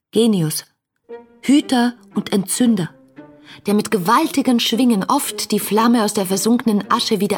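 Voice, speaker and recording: An actress playing an ardent, yearning romantic woman in a literary radio drama, with fervent, lyrical delivery that can turn hushed. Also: medium pitch, close to an everyday voice. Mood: fervent longing.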